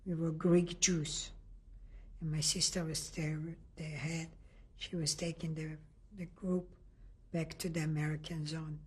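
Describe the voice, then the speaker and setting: An older woman from Lithuania giving an oral history testimony in a halting way, often restarting and searching for words. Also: deep voice, flat delivery, husky. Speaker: interviewee.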